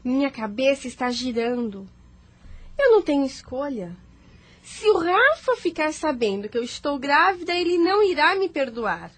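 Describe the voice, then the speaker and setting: A defiant, troubled career woman voiced by an actress in a radio play. Her voice is high, and her delivery is emotional and theatrical. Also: very animated, normal speed. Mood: anguished. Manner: emotional, dramatic, argumentative. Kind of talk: radio drama acting.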